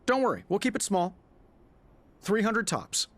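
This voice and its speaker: A glib, animated wisecracking hero. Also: male voice, high-pitched, measured pace, a little hiss or hum, heavily acted. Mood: wry, glib. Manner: flippant, chatty. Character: glib, wisecracking, flamboyant superhero man. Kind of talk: video game voice acting.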